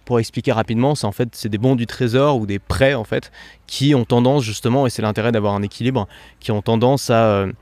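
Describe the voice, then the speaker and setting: A man talks at a rapid clip, hosting a relaxed interview and breaking down investment ideas with plenty of fillers and quick restarts. His voice sits in an ordinary middle range, recorded dry and close with no room sound. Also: mid-range voice, no echo. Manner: fast, explanatory, peppered with fillers. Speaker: podcast host. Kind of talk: casual conversational interview.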